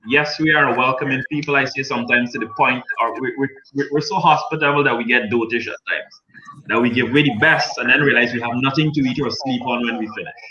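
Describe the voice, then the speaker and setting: A man's voice: mid-range voice, fairly even intonation, quick pace, muffled recording, a crowd in the background. Chatty Guyanese man on a panel. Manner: chatty, joking, frequent restarts. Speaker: guest journalist. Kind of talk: casual panel discussion.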